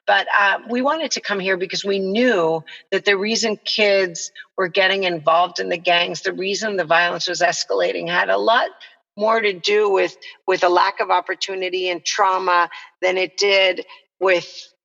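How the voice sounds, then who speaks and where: A middle-aged woman from El Salvador talks conversationally as an interview guest, explaining things earnestly and reflectively. Her voice is bright and forward with noticeable creak. The recording is reverberant and a little dull, like an online call. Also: mid-range voice, fairly even intonation, moderate pace. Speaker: nonprofit co-founder, podcast guest.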